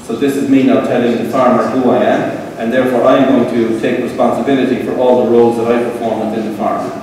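Middle-aged Irish man, relaxed presenter with fillers; mellow tone, long hall reverb. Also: mid-range voice, fast, a little hiss or hum. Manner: relaxed, conversational, frequent fillers. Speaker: tech presenter. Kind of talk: conference talk with live demo. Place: event hall with seated audience. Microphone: room pickup from far camera. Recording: roomy hall echo from far off.

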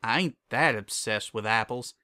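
A man's voice: mid-range voice, normal speed, pushed and bright, smooth. A plainspoken farm mare acted by a man from a storybook. She talks bluntly, with folksy, clipped words and a no-nonsense temper. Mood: dry, teasing. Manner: blunt, folksy drawl in wording. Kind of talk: storybook character dialogue.

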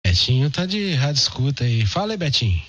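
A man's voice: mid-range voice, very animated, fairly quick, a bit bright. A Brazilian man chatting casually as an amateur radio operator. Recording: dull narrowband radio audio with hum, noise.

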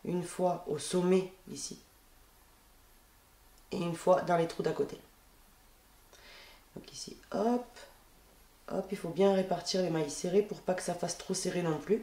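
A woman with a head cold gives a calm step-by-step crochet lesson, counting her stitches aloud as she works at a table. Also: on the low side, unhurried, long pauses. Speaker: crochet tutorial host. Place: indoors at a wooden table.

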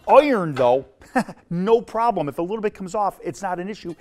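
Middle-aged chef guy, friendly and excited about pans, recorded in a pro kitchen. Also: high voice, fairly quick. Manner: enthusiastic, friendly, demonstrating pans. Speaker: chef testing cookware. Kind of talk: casual product review to camera. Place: commercial kitchen.

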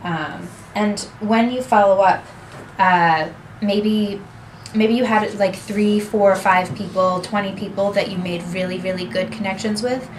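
A woman's voice: mid-range voice, steady tone, unhurried, slight background noise, rough recording. A young adult woman presenting a workshop in a small office conference room, explaining steadily and gesturing with her hands, picked up by a camera across the room. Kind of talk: seminar talk.

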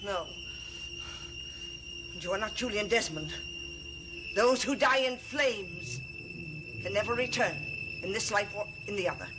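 An actress playing a proud, defiant older sorceress in a theatrical gothic television soap. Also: female voice, medium pitch, animated, unhurried, long pauses, noisy background, close to an everyday voice. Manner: imperious, theatrical. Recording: old TV soundtrack, dramatic music underneath.

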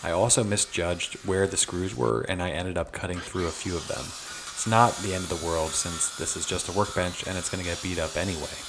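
A man's voice: low-pitched, steady tone, a little fast, background noise. A young man narrating his woodworking project in a plain, matter-of-fact voice-over, step by step. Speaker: DIY woodworking channel host.

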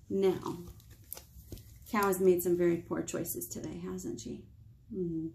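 An American woman in her thirties leading a picture-book storytime for small children, playful and expressive, asking them questions. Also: lowish voice, slow, vocal fry, a little hiss or hum. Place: small indoor room with whiteboard.

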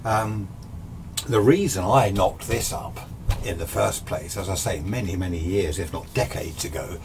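Low-voiced older English man, informal explainer. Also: normal speed, a little mellow. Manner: rambling, conversational, self-correcting. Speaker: amateur radio and vintage radio video host. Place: small cluttered indoor radio workshop. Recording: clear background noise, room ambience.

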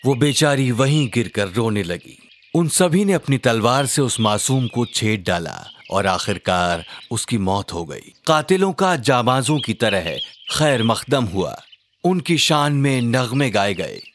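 A man voicing an encouraging father who narrates a children's cartoon story in an expressive way. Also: mid-range voice, unhurried, slight background noise. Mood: solemn storytelling. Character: warm bespectacled father telling his child religious stories.

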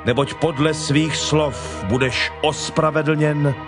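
A man playing Jesus as a solemn, stern, authoritative teacher in a deliberate, declamatory dramatized Bible reading. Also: a little high, fairly even intonation, lightly acted. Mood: stern, warning. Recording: music playing behind the voice.

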